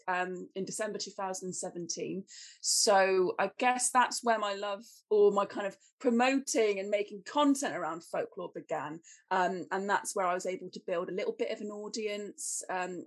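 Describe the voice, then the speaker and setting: A chatty young woman from Cornwall with a gravelly, creaky voice at a middling pitch. She talks in a casual, self-deprecating way and laughs easily. She sounds like a guest on an interview podcast. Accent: English.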